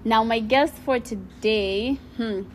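A woman's voice: on the high side, takes it slowly, darkish tone, a little hiss or hum. A young adult woman hosting a podcast in a bubbly, chatty way with frequent laughter. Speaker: podcast host. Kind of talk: casual interview chat.

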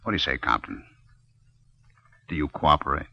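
An actor playing a smooth, menacing gangster boss in an old-time radio crime drama, brusque, sardonic and sure of himself. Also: male voice, extremely low pitch, expressive, normal speed, bright, forceful tone, heavily acted. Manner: brusque, sardonic, self-assured. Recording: dull old radio recording.

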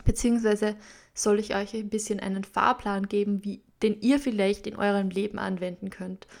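Chatty young Upper Austrian woman, even-toned. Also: medium pitch, normal speed, gravelly. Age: 20s. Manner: chatty, many fillers, mixes in English loanwords. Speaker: podcast host. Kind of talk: casual solo podcast talk.

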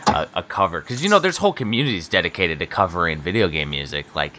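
A lowish American man in his thirties who chats in a loose, rambling way and laughs often. His voice has a forceful, bright edge. He sounds like a relaxed podcast host. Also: quick pace, slight background noise. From United States. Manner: laid-back, rambling, laughs often, many fillers. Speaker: podcast host and book publisher. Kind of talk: casual interview chat.

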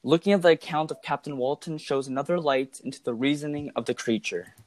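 A man's voice: on the high side, fairly quick, no echo. Formal male commentator, very dry sound. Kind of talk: scripted analytical commentary.

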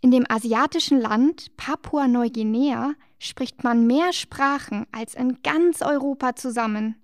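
A woman co-hosting a children's show, explaining things cheerfully and gently teasing her partner. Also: sits high, measured pace, no echo, studio quality. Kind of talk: scripted children's educational dialogue.

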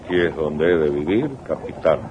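A man's voice: extremely low pitch, moderate pace, noisy background. A man playing a proud, weary aging exiled military leader in a radio drama, grave and declamatory. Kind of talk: 1980s radio drama acting. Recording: muffled old radio recording.

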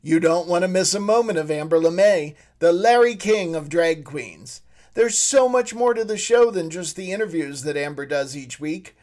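High-pitched man introducing a show. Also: normal speed, a little mellow. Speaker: producer and co-host.

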